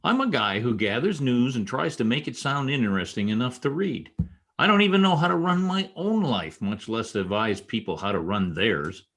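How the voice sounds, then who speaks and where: A man's voice: mid-range voice, sing-song, moderate pace, a bit bright. A man playing a stubborn, sarcastic newspaper reporter in an old-time radio comedy. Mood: defiant. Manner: argumentative, sarcastic.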